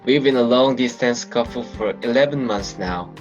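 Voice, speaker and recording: A man speaks in plain, simple phrasing at a middle pitch. The audio sounds dull and lo-fi. Music plays clearly underneath. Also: normal speed. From Korea. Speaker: interviewee. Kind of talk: casual storytelling.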